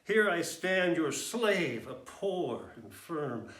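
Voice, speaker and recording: Man playing a raging old man, echoing and slow. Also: a little high, big pitch swings, fairly bright tone, exaggerated voice. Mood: defiant rage. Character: raging, despised old man defying a storm. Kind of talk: Shakespearean stage monologue. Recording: echoey room with faint noise.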